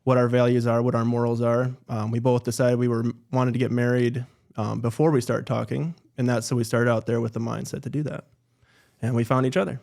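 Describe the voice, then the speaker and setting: A man guest on a podcast in a studio, telling his story in a relaxed, matter-of-fact way. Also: medium pitch, brisk, gravelly. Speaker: guest, entrepreneur. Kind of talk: casual interview.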